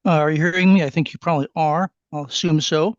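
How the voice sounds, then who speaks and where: A man's voice: a little high, fairly even intonation, fast talker, no room sound. Chatty, mildly frustrated man on a call. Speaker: participant asking a question. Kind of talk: casual question in an online class. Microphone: video call. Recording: dry dull video-call audio.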